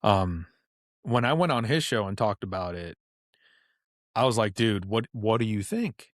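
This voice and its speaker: A man's voice: fairly low pitch, expressive, measured pace, dry recording, studio quality. A man guesting on a podcast, telling stories in a loose, chatty way with lots of "like" and casual swearing. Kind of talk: casual storytelling chat.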